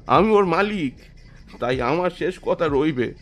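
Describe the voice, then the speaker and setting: A man's voice: a little high, lively intonation, a little slow, a bit bright. A man playing a stubborn, hot-tempered poor villager in an audio story, agitated and blunt. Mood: defiant anger.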